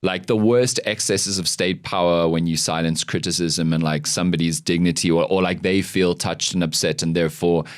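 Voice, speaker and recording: A man's voice: sits low, steady tone, normal speed, hardly any pauses, smooth, no room sound, studio quality. A man, hosting an interview in an earnest, emphatic way and repeating words for stress. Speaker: podcast host.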